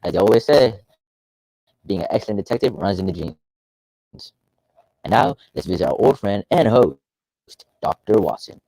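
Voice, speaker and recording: A man hosting a radio show with scripted, deliberate, presentational announcing. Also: on the low side, lively intonation, creaky, warm tone, dry recording, rough recording.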